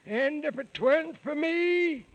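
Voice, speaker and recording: An actor in a 1930s radio serial playing a crotchety, folksy little old hermit who talks like a rustic old-timer. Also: male voice, very high-pitched, a little slow, no room sound, lo-fi sound. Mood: folksy, secretive.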